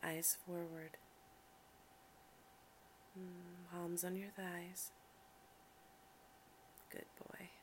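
A woman playing an affectionate but dominant partner in an intimate audio roleplay, with a creaky voice and a deliberate, controlled delivery. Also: fairly low pitch, flat delivery, slight background noise, low-quality recording. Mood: commanding, sultry. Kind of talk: intimate solo audio roleplay addressed to the listener.

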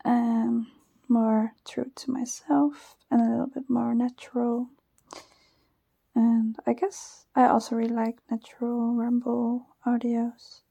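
A young woman with a Dutch accent whispering softly and shyly into a close microphone, giggling often and hesitating with many fillers. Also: fairly high pitch, completely flat delivery, takes it slowly, gravelly, slightly rough, dry recording. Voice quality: soft whisper. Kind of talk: whispered personal ramble, ASMR-like.

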